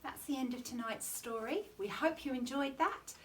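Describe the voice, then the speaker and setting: A woman's voice: high-pitched, normal speed, far from the mic. A woman reading a children's bedtime story theatrically, stretching words with exaggerated emphasis. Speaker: storytime reader at a primary school.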